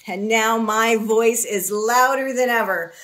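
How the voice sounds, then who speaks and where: A woman reading a children's story aloud expressively, in a deliberate way with dramatic pauses. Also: a little high, fairly bright tone. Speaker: picture book reader.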